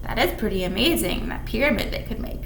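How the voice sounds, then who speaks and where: A woman's voice: on the high side, lively intonation, quick pace, creaky. A woman leading storytime for young children, reading aloud in a playful, exaggerated way with chatty asides to her little listeners. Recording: roomy echo with background noise.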